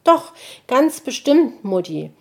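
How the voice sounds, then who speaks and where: A woman voicing an excited little boy hedgehog who chatters eagerly. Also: sits high, slow pace, vocal fry, voice pushed up, heavily acted. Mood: insistent.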